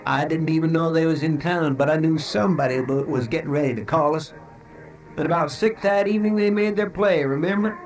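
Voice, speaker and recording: An actor, male, plays an anxious American sidekick to a frontier lawman in a classic radio Western. His voice is fairly high, with a folksy, fretful drawl and plain country grammar. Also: steady tone, a little fast, faint music behind, close to an everyday voice, poor audio. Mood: foreboding, insistent. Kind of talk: 1950s Western radio drama acting.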